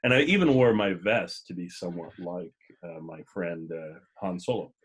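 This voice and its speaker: A man hosting a casual podcast, chatting in a rambling, jokey way with a husky voice. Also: sits low, normal speed. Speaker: podcast host.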